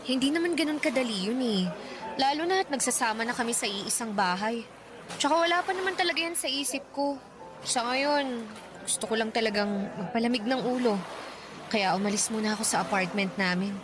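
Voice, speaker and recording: A woman's voice: on the high side, fairly quick, over music, natural voice. An actress playing a troubled, affectionate young girlfriend in a radio drama, with an earnest, pleading manner. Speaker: young woman in a love story. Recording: clear background noise.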